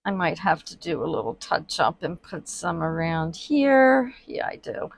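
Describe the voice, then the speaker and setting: A woman talking slowly and chattily as she works at her table, full of ums, her voice often dropping to a murmur. She sniffles now and then from allergies. The sound is dry and close, with no room echo. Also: on the low side, very animated, vocal fry. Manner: chatty, many fillers, quiet and murmured while working. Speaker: reborn doll artist. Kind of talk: casual crafting tutorial vlog. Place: indoors at a worktable.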